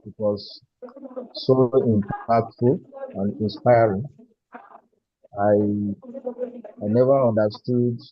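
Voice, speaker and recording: A man's voice: medium pitch, steady tone, slow pace, pauses often, no echo. A man speaking at an online meeting, hesitant and full of fillers, thanking a guest speaker. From Nigeria. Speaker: meeting participant. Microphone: video call. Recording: dull lo-fi call audio.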